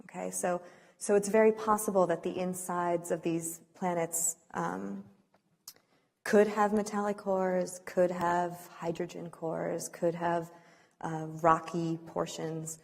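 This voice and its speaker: A woman's voice: lowish voice, little pitch movement, slow, vocal fry. A woman scientist giving an unscripted science lecture, hesitant as if thinking aloud. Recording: echoey hall reverb.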